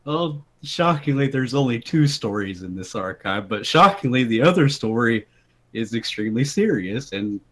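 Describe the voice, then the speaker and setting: Male storyteller among friends: amused, casual, very lively intonation, mid-range pitch. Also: normal speed. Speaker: author reading his own story to friends. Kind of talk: casual group chat and dramatic reading.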